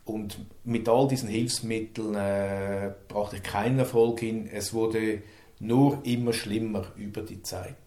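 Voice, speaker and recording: A man's voice: on the low side, steady tone, a little slow, warm tone, echoey room. A man, an interview guest speaking earnestly about his life, stuttering and repeating words. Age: 50s. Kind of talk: personal interview.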